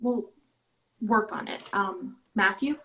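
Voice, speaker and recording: A woman's voice: medium pitch, slow, a bit bright, a little hiss or hum. A woman playing a determined young heist leader in a screenplay table read, casual and earnest. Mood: earnest, resolute.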